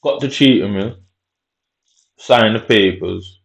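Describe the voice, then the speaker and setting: Low-voiced man telling a street story. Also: takes it slowly, vocal fry. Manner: streetwise slang, sweary, repeats "innit". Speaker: vlogger. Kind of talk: casual storytelling monologue. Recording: dull lo-fi recording.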